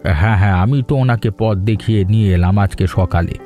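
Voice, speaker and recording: A man's voice: on the low side, brisk, mellow, faint music behind, lightly acted. A man playing a chatty, boastful village busybody in a cartoon. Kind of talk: cartoon dialogue dub.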